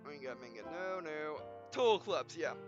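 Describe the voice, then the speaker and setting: A man's voice: on the high side, expressive, moderate pace, dull audio, over music. A man hosting a casual gameplay video, rambling and often stumbling over his words and correcting himself. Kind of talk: casual let's-play commentary.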